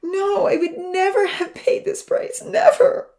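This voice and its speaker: A woman voicing a young burned man who is anguished and crying, his breathy whisper breaking into sobs. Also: on the high side, lively intonation, measured pace, dark tone, voice pushed up. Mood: sobbing.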